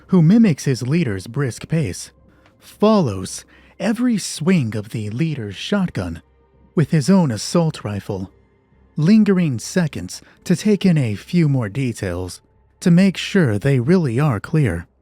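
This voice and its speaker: A man narrates a dramatic horror roleplay in a mellow, husky voice. His phrasing is measured and deliberate, and the recording is dry, with some music underneath. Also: mid-range voice, sing-song, no room sound. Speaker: host and narrator of a solo roleplay channel.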